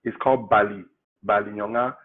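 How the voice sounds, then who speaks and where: A man from Cameroon, a political candidate, telling his life story in an interview with relaxed, explanatory humor. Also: lowish voice, fairly quick. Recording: tinny phone-like line.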